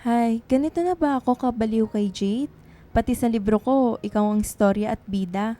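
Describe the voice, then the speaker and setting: A woman's voice: a little high, normal speed, dark tone, faint music behind, close to an everyday voice. A woman playing an earnest, tender young woman writer in love, in a radio drama. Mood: fond, musing.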